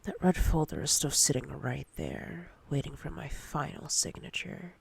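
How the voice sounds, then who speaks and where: A woman playing a domineering, teasing female boss in a whispered ASMR roleplay, breathy and husky, speaking deliberately with seductive command. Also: low voice, big pitch swings, dry recording, full character voice. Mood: stern, controlling.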